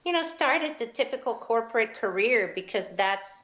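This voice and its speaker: A woman's voice: on the high side, expressive, normal speed, a bit bright. A woman from Guatemala, telling her life story as an interview guest, earnest and reflective. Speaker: guest, spiritual teacher. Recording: dull lo-fi line.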